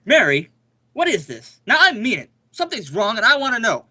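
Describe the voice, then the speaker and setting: A man's voice: very high-pitched, wildly swooping pitch, fairly quick, sharp tone, full character voice. A man playing an affable but suspicious suburban husband and father in an amateur radio play, talking conversationally with some exaggerated delivery. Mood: concerned, insistent.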